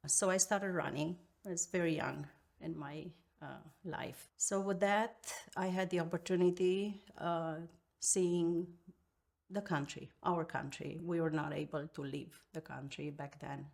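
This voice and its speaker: A Romanian-accented woman in her fifties recalls her past slowly and reflectively. Her voice is low and gravelly, and she pauses often to search for words. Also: fairly even intonation, darkish tone. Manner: halting, reflective, many pauses and fillers. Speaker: guest. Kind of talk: interview storytelling.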